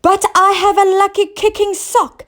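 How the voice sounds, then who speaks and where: A woman puts on a kindly storybook king who gets flustered easily. The voice jumps high and loud, exclaiming and calling out anxiously. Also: flat delivery, unhurried. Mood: panicked. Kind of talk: children's storybook character reading.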